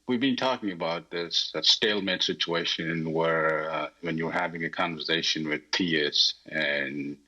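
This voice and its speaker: A man's voice: low-pitched, sharp tone, lo-fi sound. A man from South India, a native Telugu speaker, talking hesitantly with many fillers on a group audio call. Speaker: guest. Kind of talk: casual chat.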